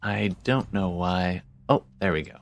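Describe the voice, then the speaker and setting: A man's voice: sits low, a little slow. A man chatting informally as game master of an online tabletop game, often muttering to himself as he works. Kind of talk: casual chat while running an online tabletop game.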